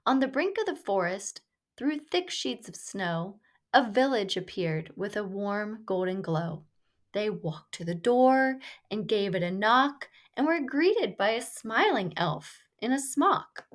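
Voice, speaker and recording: A woman reading a rhyming children's story aloud in a measured, deliberate storytelling delivery. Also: medium pitch, big pitch swings. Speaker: storybook reader.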